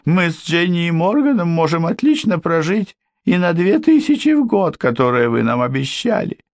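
A man voicing a frightened middle-aged housekeeper in a high, strained, tearful voice. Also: very animated, moderate pace, dry recording. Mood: pleading. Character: anxious middle-aged female housekeeper, shrill, pleading. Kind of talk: audiobook character voice.

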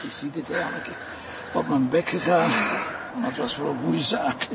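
A stubborn Flemish police commissioner with a crackly, slightly high male voice. He talks drily and colloquially, with a sardonic edge, in a TV crime drama. There is clear noise behind him. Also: moderate pace. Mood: wry, stubborn. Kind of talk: naturalistic TV crime drama acting.

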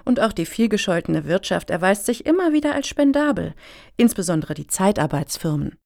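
Arch, creaky-voiced woman acted. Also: mid-range voice, very animated, brisk, darkish tone, no echo, studio quality. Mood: arch, smug. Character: wry, haughty female lobby applicant for a grant. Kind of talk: German radio satire acting.

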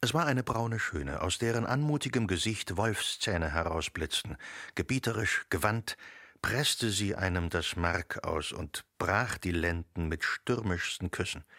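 Radio drama narrator, male: lowish and gravelly, deliberate dramatic delivery with weighted pauses, dry studio sound. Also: fairly bright tone, dry recording.

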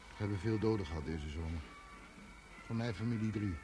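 A man's voice: fairly low pitch, brisk, music in the background, lightly acted. An actor playing a naive, wistful fisherman's son from overseas, speaking simply and earnestly in a radio play. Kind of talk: Dutch radio play acting.

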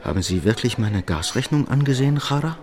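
An actor plays a defensive architect in a radio drama. His mid-pitched voice moves up and down a great deal. He sounds uneasy, giving short, evasive questions and replies. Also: animated, a little fast, a crowd in the background, close to an everyday voice. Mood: wary, defensive. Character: uneasy, defensive male architect caught in a bind. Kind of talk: naturalistic German radio drama dialogue.

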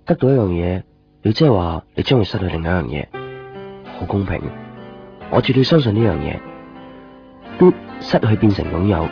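A muffled nineties radio drama with music playing underneath. a wistful, evasive young Hong Kong Cantonese boyfriend, with a very low-pitched voice and a brooding manner. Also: male voice. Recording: dull 1990s radio recording, music behind.

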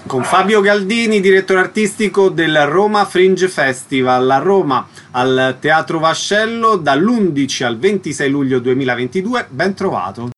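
A man's voice: fairly high pitch, expressive, moderate pace, few pauses, a bit bright. A man hosting a radio interview, announcing event details and asking short questions.

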